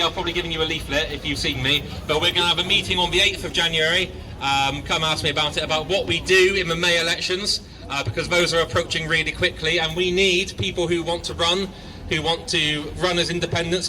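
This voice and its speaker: Man, rally speech, impassioned, hesitant fillers, high voice, distant mic, loud street noise. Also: steady tone, fast talker. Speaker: protest speaker.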